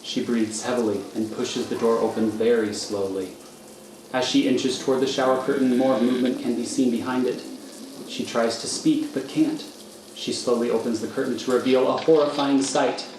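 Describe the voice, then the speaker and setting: A man narrating scripted stage directions for a radio thriller in a measured, matter-of-fact manner. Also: mid-range voice, fairly even intonation, darkish tone. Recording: echoey room, faint noise.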